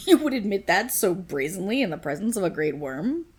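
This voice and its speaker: A woman voicing a proud, possessive dragon queen in a dramatic ASMR roleplay, with regal, commanding, formal diction and a breathy, husky, creaky voice. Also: medium pitch, sing-song, a little fast. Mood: amused, intrigued.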